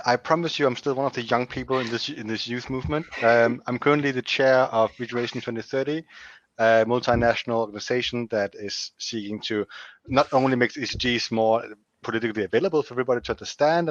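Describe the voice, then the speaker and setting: A man's voice: mid-range voice, dry recording. Frank young Danish man on video call. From Denmark. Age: 20s. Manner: animated, frank, with many restarts. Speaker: youth organization chair, panelist. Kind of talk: online panel discussion. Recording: dull dry video call audio.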